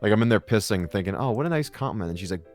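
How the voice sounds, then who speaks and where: Jeune homme, voix grave et basse, conteur cru et décousu. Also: male voice, expressive, very fast, loud music behind the voice. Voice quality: deep voice. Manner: crude, rambling storyteller who swears a lot. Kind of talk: casual comedic chat.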